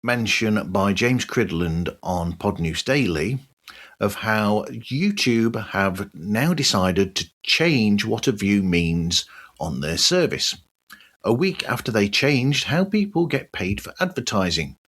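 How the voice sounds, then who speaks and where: A man hosting a podcast, reading a scripted news roundup in a measured, deliberate way. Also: on the low side, sing-song, a bit bright. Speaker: podcast host.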